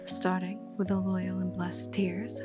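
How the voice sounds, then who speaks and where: A woman speaking softly as a channel host, reading scripted thanks to supporters, with guitar music behind her. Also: fairly low pitch, little pitch movement, measured pace.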